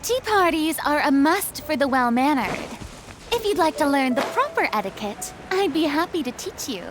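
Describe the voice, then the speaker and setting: A woman voicing an aloof, composed young magician's assistant in a video game, deadpan and terse. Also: high-pitched, moderate pace, background noise. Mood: cool, flat.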